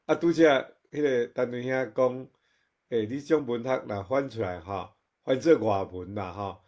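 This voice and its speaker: A man with a Taiwanese accent giving casual comments at an online forum, speaking in a halting, conversational way and repeating himself. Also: mid-range voice, a little mellow. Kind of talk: casual spoken reflection.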